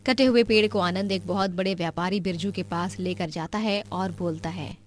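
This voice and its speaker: A woman's voice: lowish voice, moderate pace, slight background noise. A woman storyteller reading scripted narration for a cartoon moral tale.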